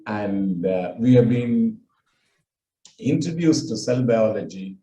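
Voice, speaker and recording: An older man from India with a Tamil accent, giving a halting personal talk with many fillers. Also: on the low side, mellow, reverberant, highs cut off, slight background noise. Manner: halting, with frequent fillers and restarts. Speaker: speaker recounting his life.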